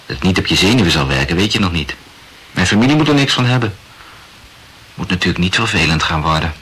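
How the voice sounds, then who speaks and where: An actor in a radio play playing a chatty, self-absorbed young amateur writer who rambles and corrects himself insistently. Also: male voice, sits low, animated, fast, bright, forceful tone, slightly rough, full character voice, rough recording. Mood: insistent, self-conscious. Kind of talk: literary radio play dialogue.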